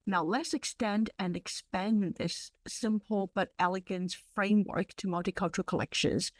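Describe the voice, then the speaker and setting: A woman whose first language is Cantonese, presenting a library webinar in a measured way with frequent fillers. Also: medium pitch, steady tone, dry recording. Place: online webinar.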